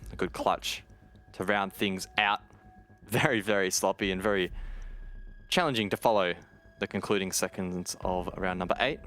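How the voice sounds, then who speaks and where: A man's voice: sits low, a bit bright. A man giving colour commentary on an esports match, analytical and wry, teasing his co-caster.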